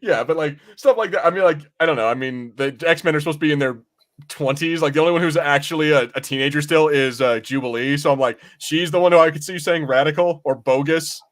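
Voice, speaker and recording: Man, mildly high pitch, informal podcast co-host, halting delivery, loose sweary language. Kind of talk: casual pop-culture panel chat.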